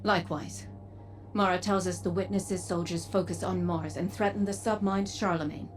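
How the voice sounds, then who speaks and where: A lowish-voiced woman acted by an actress, a hardened and defiant game soldier. She is blunt and terse, a little away from the mic with faint music behind. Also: fairly even intonation, moderate pace, recorded from a distance, close to an everyday voice. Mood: curt.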